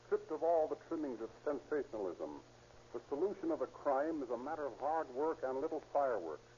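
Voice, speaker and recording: A man's voice: mid-range voice, expressive, a little fast, mellow. Male police official, earnest formal read, 1930s radio style, dull and noisy recording. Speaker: police captain, guest speaker. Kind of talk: scripted 1930s radio moral address.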